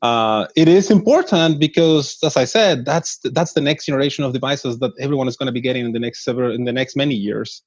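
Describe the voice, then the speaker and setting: A man's voice: medium pitch, quick pace, few pauses, husky, no echo. An earnest startup chief from northern Mexico, thirties, who restarts his sentences often. The sound is dull and lo-fi, with no room around it. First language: Spanish. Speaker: startup CEO, guest. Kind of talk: interview answers. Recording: dull dry lo-fi audio.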